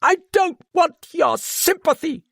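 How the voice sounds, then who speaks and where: A woman's voice: lowish voice, expressive, takes it slowly, vocal fry, breathy edge, dry recording, clean studio sound, voice pushed up, heavily acted. A man voicing a proud, desperate woman who cries out with passion, bitter and near tears, in a read-aloud story. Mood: fierce.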